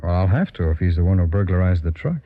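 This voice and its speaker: A kindly, matter-of-fact detective from an old radio play. His voice is very low and brisk, heard on a dull recording. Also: lively intonation. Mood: steady, sympathetic. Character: steady, sympathetic male police detective. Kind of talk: old-time radio drama acting.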